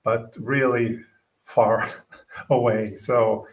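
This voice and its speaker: Guest: man from Finland, native Swedish speaker, gravelly voice, slightly off-mic, chatty with lots of restarts. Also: medium pitch, steady tone, far from the mic. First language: Swedish and Finnish. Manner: halting, many fillers and restarts. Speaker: podcast guest, gym owner. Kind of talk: casual interview chat.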